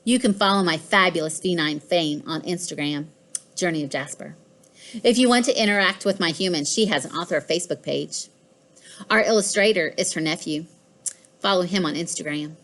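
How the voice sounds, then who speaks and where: A woman voicing a boastful, fussy pet house cat in a children's storybook, with expressive, deliberate delivery. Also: on the low side. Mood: friendly sign-off. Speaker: author reading own children's book. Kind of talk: scripted children's storybook narration.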